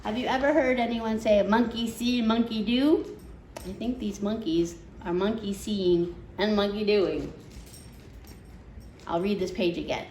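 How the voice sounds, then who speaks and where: A woman's voice: mid-range voice, unhurried, a little mellow. A woman reading a picture book aloud to children as storytime host, dramatic and full of questions and chatty asides to her listeners. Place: indoor room at home. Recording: roomy echo, faint background noise.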